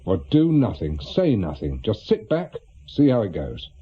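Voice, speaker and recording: An actor playing a cautious, seasoned private investigator with an English accent, speaking hesitantly and matter-of-factly in a radio drama. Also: male voice, on the low side, huge pitch swings, measured pace, breathy edge, muffled recording, full character voice. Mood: calming. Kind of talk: naturalistic British radio drama dialogue.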